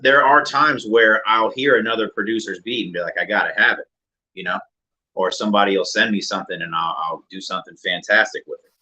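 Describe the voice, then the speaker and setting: A man, a music producer and rapper, talking casually and reflectively as an interview guest in a deeper mid-range voice. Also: brisk, low-quality recording. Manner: relaxed, reflective, many fillers.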